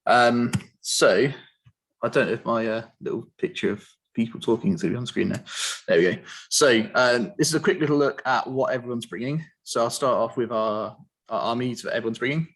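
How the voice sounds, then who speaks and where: A man hosting an online interview, explaining a tournament in a relaxed, filler-heavy way. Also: medium pitch, a little fast, poor audio. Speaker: host.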